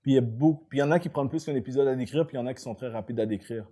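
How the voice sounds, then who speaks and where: A man, co-hosting a casual podcast chat, animated and laughing, slipping into English. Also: medium pitch, rapid-fire. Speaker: podcast co-host.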